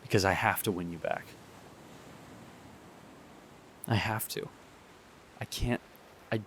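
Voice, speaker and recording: Man acting as heartbroken young boyfriend, lowish voice, earnest, hesitant delivery, audio drama, faint noise behind. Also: a bit bright, natural voice. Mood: pleading, regretful. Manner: halting, sighing, earnest. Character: heartbroken young boyfriend pleading with his distant partner.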